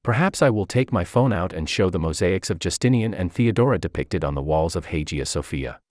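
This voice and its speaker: A man with a very low voice reads a personal essay aloud at a measured, reflective pace. His pitch rises and falls a great deal as he reads. The recording is close and dry. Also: animated, darkish tone, no room sound. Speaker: article reader.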